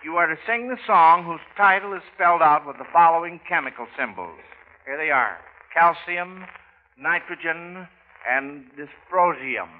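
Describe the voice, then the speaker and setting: A man's voice: fairly high pitch, lively intonation, normal speed. An American man hosting an old live radio quiz, chatty and a little hesitant, repeating answers back. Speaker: quiz show host. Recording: dull, noisy vintage radio recording.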